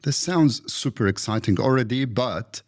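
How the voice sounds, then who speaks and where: A man hosting a podcast interview, reading a formal introduction and asking questions. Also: medium pitch. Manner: slow, deliberate delivery. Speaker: podcast host.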